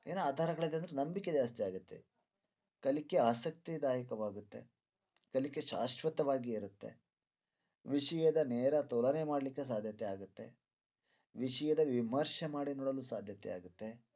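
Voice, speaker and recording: A man's voice: mid-range voice, measured pace, a little mellow. A male teacher lecturing students through exam questions, didactic, repeating points and posing rhetorical questions. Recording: dull lo-fi sound.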